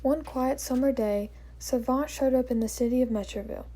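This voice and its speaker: A woman with a fairly high, soft-edged, mellow voice reads a scripted story aloud as its narrator. Her delivery is plain and measured, like someone carefully reading a school project.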